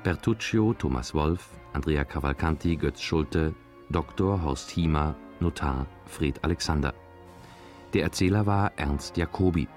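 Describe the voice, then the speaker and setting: A man's voice: extremely low pitch, measured pace, a little mellow, faint music behind. A man formally announcing the title and cast credits of a radio drama.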